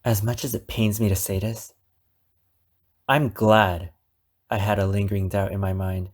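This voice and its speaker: A man playing an obsessive, wounded, possessive captor in an ASMR roleplay, speaking deliberately with dramatic pauses. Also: lowish voice, little pitch movement, natural voice. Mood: bitter, controlled. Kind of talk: ASMR roleplay monologue addressed to the listener.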